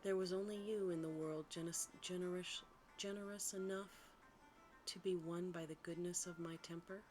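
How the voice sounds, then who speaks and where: A woman voicing a gentle, lovelorn enchanted male beast-prince in a hushed, earnest storybook manner. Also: lowish voice, steady tone, normal speed, over music, close to an everyday voice. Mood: grateful, earnest.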